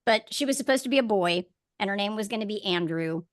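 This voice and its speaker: A woman's voice: medium pitch, lively intonation, fast. A woman hosting a casual interview, talking in a chatty, informal and joking way. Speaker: podcast host. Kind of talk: fun casual interview chat.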